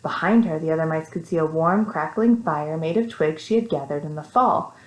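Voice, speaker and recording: A woman with an American accent reading a story to young children, animated, with simple casual asides. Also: sits low, fairly quick. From Texas, United States. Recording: echoey room.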